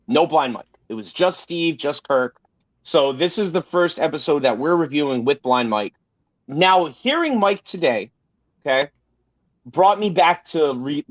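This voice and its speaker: A man co-hosting a casual podcast, talking in an emphatic, rambling way and swearing freely. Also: on the high side. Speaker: podcast co-host. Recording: roomy, slightly dull sound.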